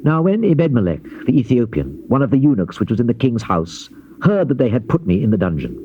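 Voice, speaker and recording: A man giving a solemn, theatrical, measured reading of dramatized scripture in archaic English, on an old cassette tape. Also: mid-range voice, very animated, lo-fi sound, a little hiss or hum. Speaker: scripture narrator playing the prophet Jeremiah. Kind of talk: dramatized King James Bible reading.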